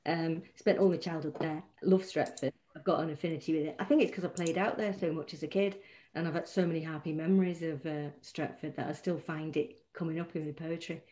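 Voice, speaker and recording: A middle-aged woman with a Mancunian accent chats easily as an interview guest. Her voice sits fairly low and sounds mellow and soft. She rambles warmly, circling back with fillers and restarts. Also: fairly even intonation, fairly quick. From Manchester, England. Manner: chatty and warm, many restarts and fillers. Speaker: poet and guest. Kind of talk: casual interview chat.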